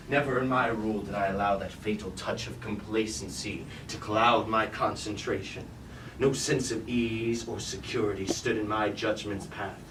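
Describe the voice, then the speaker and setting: A man's voice: on the low side, fairly bright tone. An actor playing a proud, wrathful deposed robot warlord king, with grand, commanding, archaic delivery in a staged reading of a tragedy. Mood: commanding fury. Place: indoor staged reading at a university. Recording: roomy, distant stage recording with light noise.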